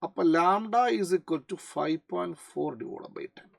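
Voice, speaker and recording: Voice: medium pitch, very slow, gravelly. A physics teacher with a Malayali accent works through a problem in a mid-range voice. The delivery is explanatory, switching into English for technical terms and often checking with short questions. The sound is dry, with very little room echo.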